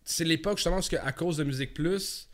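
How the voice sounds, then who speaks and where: A Québécois man in his thirties chatting casually and telling stories in an animated way, repeating words for emphasis. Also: on the high side, quick pace. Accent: Québécois French. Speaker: guest, stand-up comedian. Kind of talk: casual chat with storytelling.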